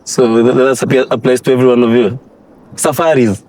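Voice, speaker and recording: A man's voice: mid-range voice, fairly even intonation, a little fast, darkish tone, poor audio. A young man hosting a casual campus interview, teasing and laughing with his guests. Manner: playful, teasing, laughing often. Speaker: host. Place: university campus.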